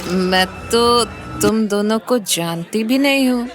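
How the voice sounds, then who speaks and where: High-voiced naive young party woman played by an actress. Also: slow, over music. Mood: drunk, unsure. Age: young adult. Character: hesitant young rich woman, naive, drunk clubgoer. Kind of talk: Hindi audio story acting.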